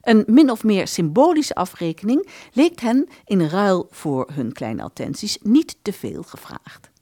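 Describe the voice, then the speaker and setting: A woman's voice: medium pitch, big pitch swings, measured pace, warm tone, dry recording, studio quality. A woman narrating a literary radio play in a scripted storytelling style. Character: literary female narrator of a musicians' tale.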